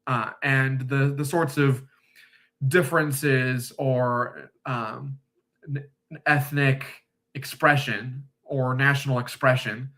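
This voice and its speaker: A man from the United States talks his way slowly through a point in a casual discussion, pausing often and restarting his sentences. His voice is bright and a little tense, sitting at an ordinary pitch for a man. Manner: thoughtful, hesitant, many pauses and restarts. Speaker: discussion guest.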